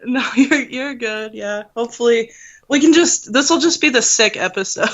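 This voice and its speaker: A woman's voice: on the high side, normal speed, highs cut off. A woman who is a podcast guest, shy and laughing often, still a little sick. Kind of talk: casual interview.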